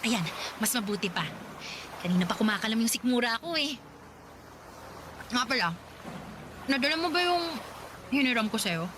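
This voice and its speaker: A woman's voice: fairly high pitch, lively intonation, normal speed, long pauses, noisy background, close to an everyday voice. An actress playing a broke young female college student who complains about work and money, in a radio drama with music underneath. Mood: chatty. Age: young adult. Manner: chatty, grumbling about her hardships. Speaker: working student character.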